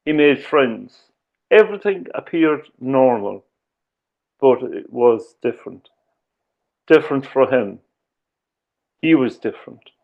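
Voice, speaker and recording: A man with an Irish accent giving a talk as a slow, measured storyteller, often pausing on "uh" and "um". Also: mid-range voice, lively intonation, gravelly, phone-quality sound. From Ireland. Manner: measured, with frequent "uh" and "um". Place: lives in the UK.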